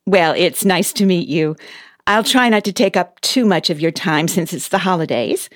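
An actress playing a cheery, brisk, officious female elf who runs personnel at the North Pole, in an audio play. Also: on the low side, no echo, clean studio sound, close to an everyday voice. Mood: chatty, amused. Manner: chatty, expressive, officious.

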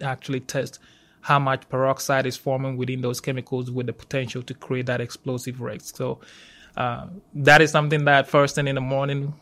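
A man with a Ghanaian accent speaks conversationally, as a guest in an interview. His pitch is average and stays fairly flat, and he moves haltingly, often repeating and rewording a phrase. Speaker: university safety professional, interview guest.

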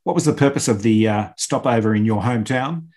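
A man hosting a radio interview, asking prepared questions in a measured, clear way. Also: fairly low pitch, a little mellow.

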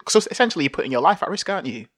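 A man hosting a podcast interview over a video call, enthusiastic and affirming, quick to laugh. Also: fairly high pitch, very animated, a bit bright, no room sound, studio quality. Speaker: podcast host.

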